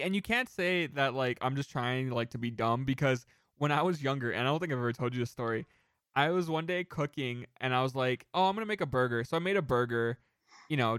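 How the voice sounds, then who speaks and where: A man co-hosting a casual podcast, telling rambling, animated anecdotes with some casual swearing. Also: mid-range voice, a little fast, clear, resonant tone, dry recording, clean studio sound. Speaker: podcast co-host.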